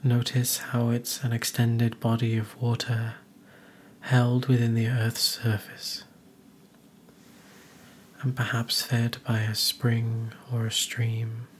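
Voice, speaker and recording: A man narrating a guided meditation in a soothing, gentle, hushed manner with soft, breathy phrase endings. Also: medium pitch, completely flat delivery, slow, a little hiss or hum.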